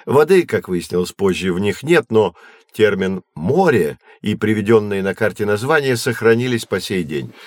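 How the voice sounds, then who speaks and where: Male actor as patient science guide, lowish voice, dry close studio sound, measured explanations, reassuring, educational audio drama. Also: lively intonation, darkish tone, no room sound, lightly acted. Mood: explanatory, patient. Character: patient knowledgeable adult man guiding children through astronomy.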